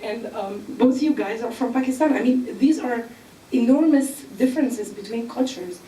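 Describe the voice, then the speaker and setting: A woman from Egypt speaking on a panel, explaining earnestly with filler pauses. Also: on the high side, dark tone, distant mic. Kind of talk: panel discussion. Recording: echoey room, mic from afar.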